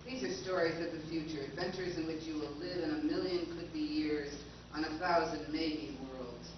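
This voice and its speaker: A man's voice: a little high, steady tone, normal speed, distant mic, close to an everyday voice. Man as grand, echoing 1950s radio announcer. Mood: grand, dramatic. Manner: grand, declamatory. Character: dramatic male radio announcer of science fiction tales. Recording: echoey, noisy, dull stage recording.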